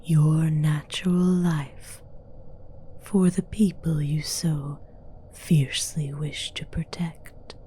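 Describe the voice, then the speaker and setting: A woman playing a seductive, domineering demoness in a breathy, mostly whispered ASMR fantasy roleplay, commanding and coaxing in formal, archaic phrasing. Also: deep voice, fairly even intonation, slow pace, mellow, slight background noise. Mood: persuasive, soft.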